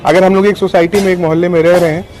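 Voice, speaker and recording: A man with a slightly high voice answers as an interviewee on the news. He explains things in an informal, conciliatory manner, dropping in English words. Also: flat delivery, very fast, darkish tone.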